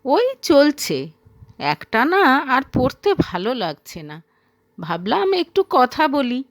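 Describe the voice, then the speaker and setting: Woman voicing a meek young student, high-pitched. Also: huge pitch swings, slow, no echo, clean studio sound, heavily acted. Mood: shy, casual. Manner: mild, hesitant. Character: meek, soft-hearted young female student.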